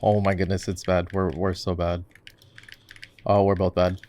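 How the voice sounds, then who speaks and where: A man playing a relaxed, playful gamer boyfriend in a casual roleplay, chatting with easy slang as he games and coaches his girlfriend. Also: low-pitched, normal speed, a little hiss or hum, natural voice. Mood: amused, giggly. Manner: laid-back, giggly, casual slangy talk. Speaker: ASMR roleplay voice actor. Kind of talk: casual ASMR roleplay, gaming chat.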